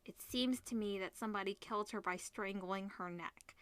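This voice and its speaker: Bright-voiced woman reading a game story aloud. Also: medium pitch, normal speed, dry recording. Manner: expressive, switches between narration and character lines. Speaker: video game let's player reading aloud. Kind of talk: reading visual novel aloud with casual reactions.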